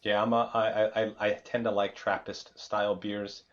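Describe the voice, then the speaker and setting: A man with a low voice hosts a podcast conversation, relaxed and chatty, often doubling the first words of a phrase. The sound is dull and a bit muffled. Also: normal speed. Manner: easygoing, chuckles and stutters on starts. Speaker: podcast host. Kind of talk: casual interview hosting.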